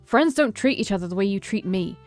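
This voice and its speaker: A woman's voice: mid-range voice, expressive, fairly quick, lightly acted. A woman voicing a brooding, hurt young man with a curt, tight manner in a story reading. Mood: angry, hurt. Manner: tight, clipped, growling through gritted teeth. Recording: background music behind the voice.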